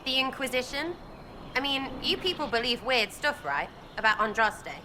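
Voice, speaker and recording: A woman's voice: high voice, lively intonation, moderate pace, background noise. An actress playing a blunt, cheeky young female adventurer trading informal, teasing banter in a video game. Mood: cheeky, needling.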